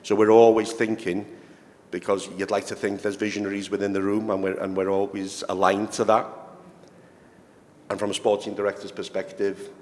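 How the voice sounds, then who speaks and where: A man speaking as a sporting director on a conference panel, measured and repeating words as he builds his points. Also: deep voice. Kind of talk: panel discussion. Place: conference hall. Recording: reverberant hall with faint noise.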